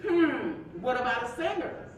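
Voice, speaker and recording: A woman acting a sassy, chatty married friend from the 1950s in a staged play reading, telling things in an animated, gossipy way with folksy endearments. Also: normal speed. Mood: teasing. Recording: echoey hall from far off, faint rumble.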